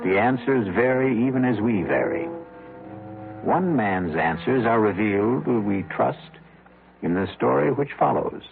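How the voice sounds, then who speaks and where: A man hosting an old-time radio mystery with deliberate, ominous, theatrical scripted narration. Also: mid-range voice, animated, creaky. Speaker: radio drama host and narrator. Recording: lo-fi old radio broadcast with music.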